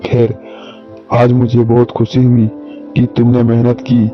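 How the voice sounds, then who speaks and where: A wise village holy man acted by a man preaches patiently in a mid-pitched voice. The cartoon sage sounds slightly dull and muffled, with faint music underneath. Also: monotone, measured pace, lightly acted. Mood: wise, preachy. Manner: sermonizing, patient.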